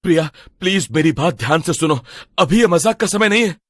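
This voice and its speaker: A man voicing a worried young ex-boyfriend in an animated story, urgent, pleading and a little hesitant. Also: on the high side, brisk, no echo, studio quality. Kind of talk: dramatic cartoon story voice acting.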